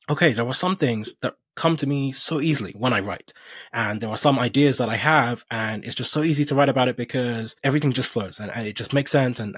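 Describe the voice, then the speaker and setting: A man's voice: medium pitch, husky, no room sound. A man hosting a podcast, thinking aloud in a reflective, conversational way with long exploratory sentences. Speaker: podcast host.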